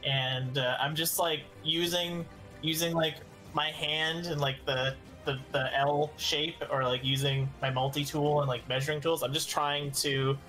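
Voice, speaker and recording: A man playing a tabletop game, chatting casually and thinking aloud in a halting way. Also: a little high, steady tone, pushed and bright, soft background music, poor audio.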